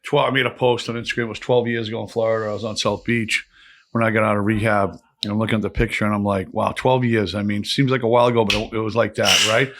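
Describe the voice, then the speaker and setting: A man's voice: on the low side, level tone, fast, a bit bright, a little hiss or hum. A man in his fifties chatting casually as a podcast co-host, telling stories in a blunt, streetwise way. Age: 50s. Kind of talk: casual chat, storytelling.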